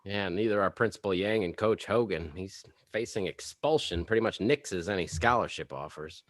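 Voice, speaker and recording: A man's voice: deep voice, normal speed, no room sound, clean studio sound, close to an everyday voice. An actor playing a petty, self-pitying suburban father, whiny and sarcastic, in a comedy table read. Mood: resigned, dry.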